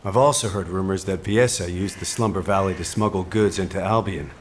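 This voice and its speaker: A man playing a composed, caring military officer in a video game, giving grave briefings in a measured, formal, earnest way. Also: low voice, a little hiss or hum, lightly acted. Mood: grave, concerned. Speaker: mission commander giving briefings. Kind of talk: scripted video game dialogue.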